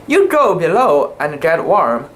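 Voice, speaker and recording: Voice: mid-range voice, sing-song, a little slow, poor audio. A narrator voicing a weary old sea captain. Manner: weary, groaning. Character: gruff, groaning sixty-year-old sea captain, devoted husband.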